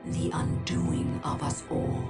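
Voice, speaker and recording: An actress playing a grave, imperious alien seer in a video game, speaking in solemn, cryptic, measured phrases. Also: female voice, low-pitched, fairly even intonation. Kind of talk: dramatic video game acting. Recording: loud music behind the voice.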